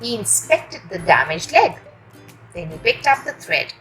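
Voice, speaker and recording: A woman reading a children's story aloud in an expressive, deliberate storytelling manner. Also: medium pitch, faint music behind. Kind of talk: animated storytelling for young children.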